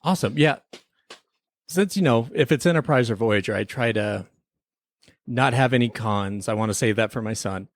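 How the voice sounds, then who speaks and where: A man's voice: medium pitch, normal speed, long pauses, no room sound. A man hosting a podcast, talking through a review in a casual, playful and wry way. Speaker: podcast host.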